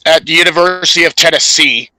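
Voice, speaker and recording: Male podcast co-host, forceful bright tone, higher-set voice, halting recap delivery with stumbles. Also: no room sound, low-quality recording. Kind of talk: show recap from notes.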